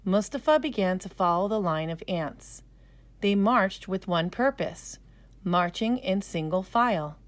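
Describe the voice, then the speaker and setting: A woman storyteller narrating a scripted children's story in a careful, expressive way. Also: medium pitch, measured pace.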